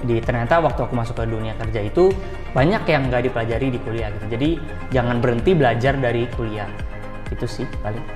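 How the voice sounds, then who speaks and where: A young interview guest talks at a brisk pace in a casual, explanatory way. The voice rings in an echoey, reverberant space. Music is clearly audible behind it. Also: medium pitch. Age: young adult. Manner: informal, explanatory, peppered with colloquial tags.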